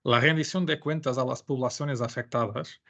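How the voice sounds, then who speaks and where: Gravelly-voiced Portuguese man presenting. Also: medium pitch, steady tone, bright, forceful tone, dull audio. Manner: careful, slightly halting non-native Spanish. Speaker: webinar presenter, information management adviser. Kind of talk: presenting a webinar.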